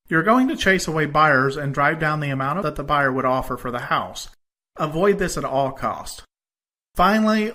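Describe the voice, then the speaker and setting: A man narrating a scripted lesson as a course instructor, measured and deliberate, leaning on his transition words. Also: fairly high pitch, pushed and bright. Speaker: instructor in a home-selling course.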